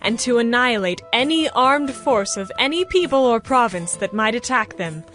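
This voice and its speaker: A woman giving a formal, measured, scripted narration of a dramatized scripture story. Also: a little high, expressive, fairly bright tone. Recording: music behind the voice.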